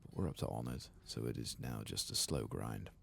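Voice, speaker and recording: A man giving soft-spoken ASMR game commentary in a gentle, murmuring voice that often drops to a breathy half-whisper. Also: rumbling low, a little fast, slight background noise. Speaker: ASMR gaming channel host.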